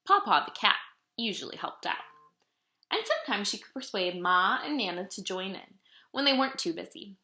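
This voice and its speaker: A woman reading a children's picture book aloud in an expressive, dramatic storytelling manner. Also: medium pitch, normal speed. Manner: animated, dramatic storytelling delivery. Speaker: storybook reader. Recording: roomy, echoing room sound.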